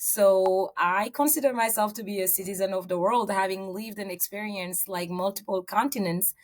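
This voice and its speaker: A woman's voice: medium pitch, fairly even intonation, normal speed. A middle-aged woman from Senegal, a real estate agent answering interview questions in earnest storytelling with fillers.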